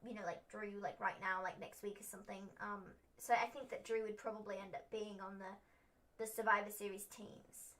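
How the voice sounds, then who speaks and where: A woman's voice: medium pitch, flat delivery, moderate pace, a bit bright, rough recording. A young woman hosting a wrestling recap livestream, chatting casually, rambling and opinionated with lots of fillers.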